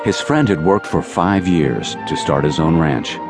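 Deep-voiced male Western narrator. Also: animated, measured pace. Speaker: audiobook narrator. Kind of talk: scripted narration of a dramatized Western. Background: music.

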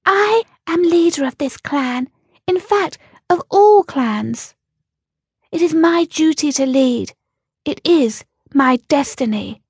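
A woman voicing a wise, commanding female rabbit who leads her clan, speaking in a stately, measured and slightly self-important way. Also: high voice, a little mellow, no echo, studio quality, voice pushed up. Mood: solemn.

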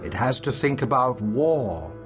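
A man giving an expressive, measured dramatic recital of poetry. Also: medium pitch. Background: music.

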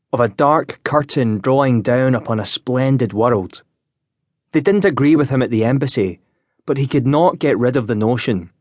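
A man's voice: medium pitch, dry recording. Man narrating a novel, dry studio sound. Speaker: audiobook narrator. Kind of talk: scripted literary narration.

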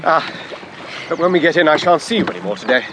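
An actor playing an eager, awkward upper-class English gentleman in his twenties, nervous and babbling, in a radio drama. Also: on the high side, animated, fast, noisy background, natural voice. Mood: flustered, smitten. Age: 20s.

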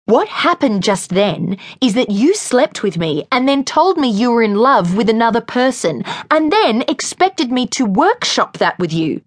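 A woman's voice: mid-range voice, no room sound, pitched above the performer's own voice. A woman voicing a furious young woman whose friend has betrayed her, sarcastic and cutting. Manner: sarcastic, then cold and cutting.

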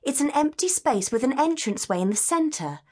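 A woman's voice: high-pitched, very animated, normal speed, darkish tone. A woman playing a curious, observant student in a scripted educational audio drama, speaking in an eager, inquisitive way. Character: curious, observant female student on a guided tour.

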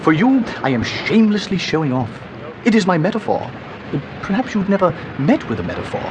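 An actor playing a pompous, self-pitying older poet in a comic radio play, with a florid, grandiloquent delivery. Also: male voice, high-pitched, sing-song, fairly quick, lo-fi sound. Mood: wry, self-pitying. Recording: noisy original workshop recording.

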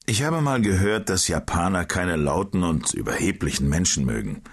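A man's voice: sits low, lively intonation, normal speed, husky. A man in a scripted audiobook dialogue, asking his colleague curious questions.

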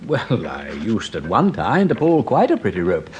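An actor playing a genial, breezy aristocratic gentleman with an upper-class English accent in a radio drama, urbane, courteous and effusive. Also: lowish voice, huge pitch swings, moderate pace, lo-fi sound, a little hiss or hum. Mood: cheerful, wry.

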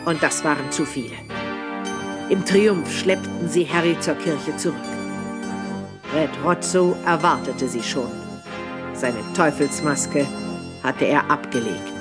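Calm male audio drama narrator. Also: a little high, takes it slowly, pauses often, over loud music. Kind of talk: scripted narration.

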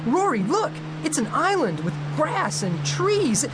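A woman playing a naive, excitable boy space cadet sidekick in a comic sci-fi radio drama, wide-eyed and gee-whiz. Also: sits high, unhurried, noisy background. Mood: amazed. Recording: music under the voice.

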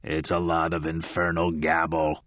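A man doing a gravelly, belligerent old spirit. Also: very deep voice, monotone, a little slow, a bit bright, no echo, voice pushed down, full character voice. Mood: scornful. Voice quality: gravelly, croaking. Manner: belligerent, scornful. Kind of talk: audiobook character voice.

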